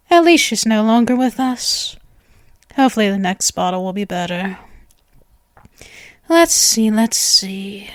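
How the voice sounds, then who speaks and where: A woman's voice: a little high, no room sound, studio quality. A woman voicing a possessive, centuries-old vampire girl in an intimate ASMR roleplay, coy and cooing with a threatening edge. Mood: disgusted, devoted.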